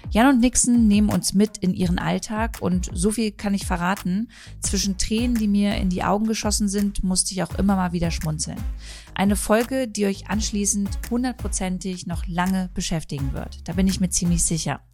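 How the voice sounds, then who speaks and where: A woman hosting a podcast interview, recorded indoors in a shop, asking her guests clear, personal questions. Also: medium pitch, moderate pace, warm tone, faint music behind. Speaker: podcast host.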